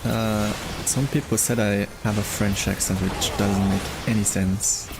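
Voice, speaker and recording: Low-voiced German man chatting. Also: level tone, unhurried, background noise. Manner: musing, thinking aloud. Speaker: streamer. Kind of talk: casual chat with viewers while gaming. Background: game music.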